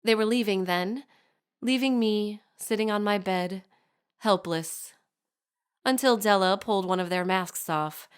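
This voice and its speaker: A woman's voice: medium pitch, clean tone, lightly acted. A woman acting a poised, shrewd aristocratic daughter. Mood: haunted. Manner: formal, measured, eloquent diction with pointed emphasis. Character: poised, shrewd young bride from a spymaster family, coolly accusing. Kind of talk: scripted dramatic monologue addressed to her mother.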